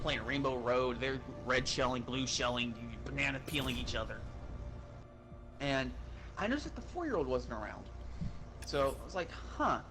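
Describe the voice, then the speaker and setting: A man telling a story in an animated, casual way, swearing and sounding exasperated as he goes. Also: medium pitch. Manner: profane, exasperated, uses acted-out dialogue.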